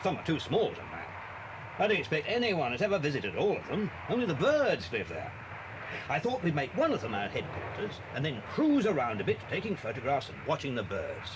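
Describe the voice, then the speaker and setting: A man playing a kindly, brisk detective and family friend in a children's audio drama, hearty and reassuring. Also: huge pitch swings. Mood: cheerful, hearty. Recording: hissy old cassette with vehicle rumble.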